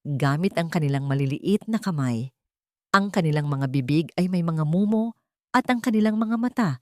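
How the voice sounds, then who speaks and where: A woman narrates a dramatic story. Her voice sits low for a woman, and the sound is dry, with very little room in it. The delivery is scripted storytelling. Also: no room sound.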